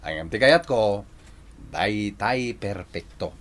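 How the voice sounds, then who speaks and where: An actor playing a vain, teasing, choosy bachelor son in an Ilocano radio drama, boastful and quick to laugh. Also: male voice, on the low side, expressive, fairly bright tone. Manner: boastful, laughing, swinging delivery.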